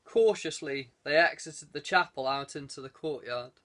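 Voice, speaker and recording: Male audiobook reader, slightly high pitch, scripted adventure narration. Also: moderate pace, a bit bright.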